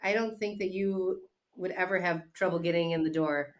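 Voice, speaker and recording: A woman's voice: on the low side, fairly even intonation, normal speed. Woman host asking questions. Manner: supportive, brief affirmations and questions. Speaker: podcast host. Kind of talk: casual interview chat.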